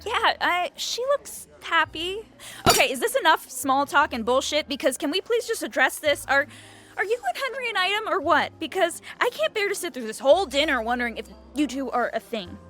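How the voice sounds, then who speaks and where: A woman's voice: sits high, sing-song, brisk, fairly bright tone, faint music behind. An actress playing a blunt, nosy, foul-mouthed young woman in a comedy audio drama, talking in casual slang. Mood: blunt, impatient.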